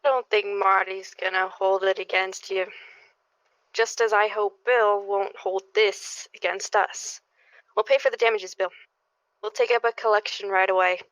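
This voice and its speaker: A woman's voice: mid-range voice, fairly even intonation, normal speed, a bit bright, no room sound, natural voice. A woman playing an earnest, conscience-stricken suburban neighbor in a radio play. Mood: conciliatory. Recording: dull lo-fi audio.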